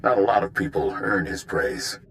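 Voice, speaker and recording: A grave male commander in a sci-fi game. His low voice is measured and authoritative, with a faint layer of noise behind it. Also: expressive, fairly bright tone. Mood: measured, commanding. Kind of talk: video game voice acting.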